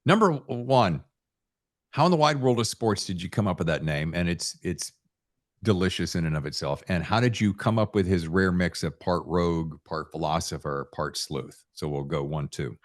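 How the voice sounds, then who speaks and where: A low-pitched male podcast host talks in a loose, folksy style. He is effusive and tends to ramble as he works toward his questions in a relaxed interview chat. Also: normal speed.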